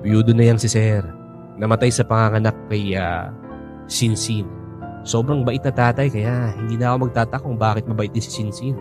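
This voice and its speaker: A man's voice: fairly low pitch, fairly even intonation, normal speed, over music, natural voice. A man playing a chatty, joking coworker. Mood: friendly. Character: chatty, helpful coworker who knows everyone. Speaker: fellow overseas worker. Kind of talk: radio drama acting.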